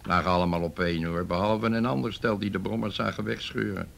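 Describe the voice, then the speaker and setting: An actor playing a gruff, irreverent police detective in a radio play, blunt and sardonic. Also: male voice, deep voice, steady tone, fast, a bit bright, slight background noise. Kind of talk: Dutch radio play acting.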